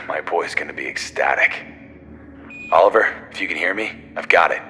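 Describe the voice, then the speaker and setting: An easygoing, teasing astronaut in an audio drama. His deep voice has a casual, folksy manner, heard as if over radio with music underneath. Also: moderate pace. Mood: relieved, musing. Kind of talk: naturalistic audio drama acting.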